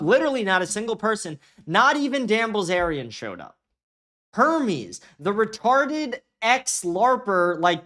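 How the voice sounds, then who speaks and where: A man's voice: high voice, fairly bright tone. A man hosts a political livestream. He talks off the cuff, swearing freely in a blunt, scornful way. He often says a phrase again to drive it home. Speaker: host of a political commentary stream. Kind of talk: unscripted livestream commentary, profane ranting.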